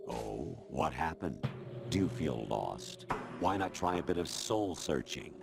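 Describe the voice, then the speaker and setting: A man voicing a cold, condescending artificial intelligence in a video game cutscene, lecturing in a measured, disdainful way. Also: very deep voice, big pitch swings, gravelly, full character voice. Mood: mocking. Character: cold, condescending male artificial intelligence posing as human authority. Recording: loud music behind the voice. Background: loud music.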